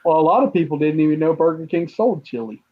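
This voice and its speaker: A man hosting a casual chat, hesitant, with many pauses and fillers. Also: a little high. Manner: halting, lots of uh fillers. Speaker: host of a chat stream. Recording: tinny phone-like line.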